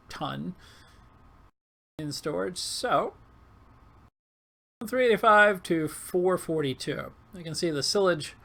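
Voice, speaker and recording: A man with a coarse, hoarse, failing voice giving casual, halting commentary over gameplay. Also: a little high, fairly bright tone. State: hoarse, losing his voice. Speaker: gaming video host.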